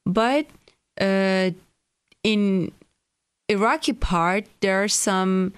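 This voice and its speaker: A woman's voice: medium pitch, very slow, clean tone, dry recording. A young woman from Iranian Kurdistan, a native Kurdish speaker with a Kurdish accent, answering interview questions hesitantly and searching for words. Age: young adult. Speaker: guest.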